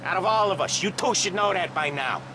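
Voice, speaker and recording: High-voiced, hot-headed mobster. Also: male voice, a little fast. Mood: cocky, emphatic. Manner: excitable, emphatic tough-guy talk. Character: hot-headed, swearing, wisecracking 1930s mobster. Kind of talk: video game cutscene acting. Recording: engine and gunfire noise behind.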